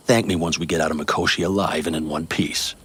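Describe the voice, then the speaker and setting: An actor playing a gruff, blunt nomad leader in a cyberpunk game, with a husky voice. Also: male voice, rumbling low, fairly even intonation, quick pace, fairly bright tone, exaggerated voice. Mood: resigned, gruff. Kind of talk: video game voice acting.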